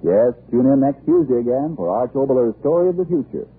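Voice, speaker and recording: A man's voice: medium pitch, lively intonation, brisk, husky. A man reading a 1940s radio commercial as announcer, with emphatic, polished salesmanship. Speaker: announcer and sponsor pitchman. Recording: muffled, band-limited old radio broadcast.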